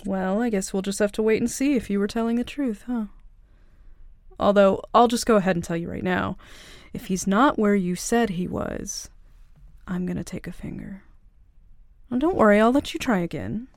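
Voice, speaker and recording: Woman playing a cocky, creaky-voiced young mafia princess. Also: mid-range voice, moderate pace, a little mellow, no echo, studio quality, natural voice. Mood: taunting, menacing.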